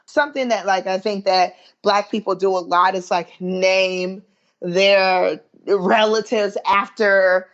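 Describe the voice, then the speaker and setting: A woman's voice: mid-range voice, steady tone, slow. Southern woman with a muffled sound. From American South. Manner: chatty, many fillers, proud. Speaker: guest, body image coach. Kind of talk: remote podcast interview.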